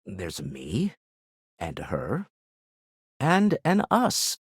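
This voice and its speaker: Older game businessman, raspy, shrewd, wry, formal, expressive swings in pitch, very dry close sound. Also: no room sound, exaggerated voice. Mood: intrigued, thoughtful. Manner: articulate, measured, dryly formal. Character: shrewd, urbane businessman explorer, wry and principled. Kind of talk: video game character dialogue.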